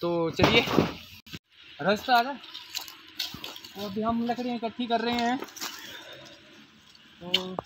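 A man's voice: sits high, noisy background. A young man camping in a forest at night, explaining tips to viewers in a casual vlog, filmed on a handheld phone. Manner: explanatory, advising viewers, walking while talking. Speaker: camping vlogger. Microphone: phone camera held by companion.

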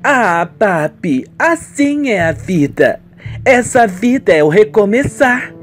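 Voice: medium pitch, big pitch swings, slow pace, soft background music, pitched above the performer's own voice. A performer voicing an arrogant, affected young rich daughter in a radio soap, haughty and emphatic. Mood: determined.

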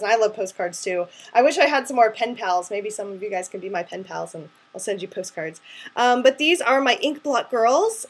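A woman's voice: fairly high pitch, slightly rough. A woman in her twenties chatting casually to camera as an artist hosting her own show, in a rambling way peppered with "like", recorded in a small room at home. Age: 20s.

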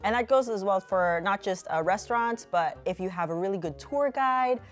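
A woman's voice: medium pitch, moderate pace, faint music behind. A young adult woman with a Filipino accent, co-hosting a casual travel chat and saying "like" a lot. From Philippines. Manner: chatty, uses "like" often. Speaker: co-host. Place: indoor studio set with a sofa.

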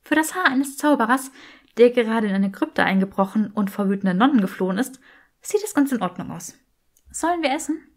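A woman's voice: fairly high pitch, lively intonation, brisk. A woman voicing an earnest, teasing young witch in a fantasy audiobook. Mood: teasing, amused.

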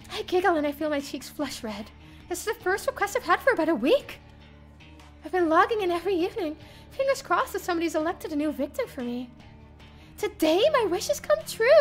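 A high-voiced woman narrates a first-person story in an expressive, theatrical way. Her intonation swings widely as she reads. Music plays softly behind her. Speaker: streamer reading a visual novel aloud. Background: game music.

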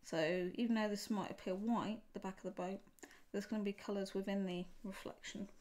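A woman casually talking through her drawing as she works, relaxed and thinking aloud at a home desk. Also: medium pitch, fairly quick. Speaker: art tutorial host. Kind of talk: casual narration while drawing. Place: home desk, seen from above.

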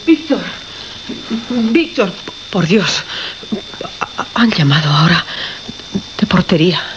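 A woman's voice: on the low side, very animated, slow pace. An actress with a Castilian accent playing a frightened, harried woman from a radio control room, urgent and pleading, in a radio drama. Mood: frightened, faltering. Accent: Castilian Spanish. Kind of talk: Spanish radio drama acting. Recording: dull radio recording, faint noise.

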